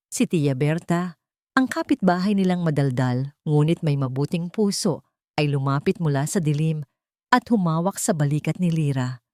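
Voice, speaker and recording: A woman's voice: low-pitched, warm tone, no room sound, studio quality. A woman with a Filipino accent reading a dramatic scripted story. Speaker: narrator.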